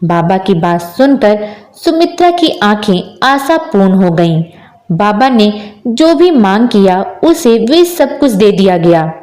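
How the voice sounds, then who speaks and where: A woman's voice: mid-range voice, darkish tone, reverberant. A woman storyteller reading a scripted moral tale as narration.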